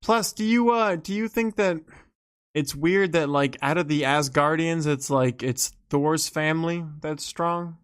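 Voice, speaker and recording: A man's voice: fairly high pitch, unhurried, clean tone. Chatty, questioning podcast man. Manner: loose, questioning, lots of "like" and restarts. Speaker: podcast co-host. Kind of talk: casual chat.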